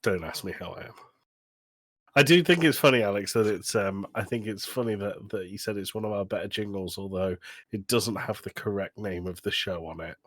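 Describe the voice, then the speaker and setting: A man's voice: on the low side, fairly quick, a bit bright, dry recording. A British man co-hosting a podcast in casual chat, with a dry, joking manner. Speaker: podcast co-host.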